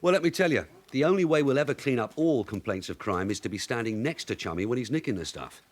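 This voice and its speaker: Actor as a shrewd police sergeant, lively delivery. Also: male voice, medium pitch, fast talker, natural voice. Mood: wry, weary. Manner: wry, businesslike, commanding. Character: shrewd, brisk veteran London detective sergeant. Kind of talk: British radio drama acting.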